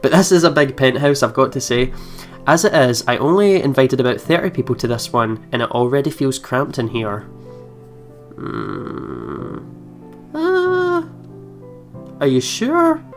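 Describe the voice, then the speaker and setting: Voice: mid-range voice, very animated, unhurried. Chatty let's play host with music behind. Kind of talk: casual game commentary, reading game text aloud. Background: soft game music.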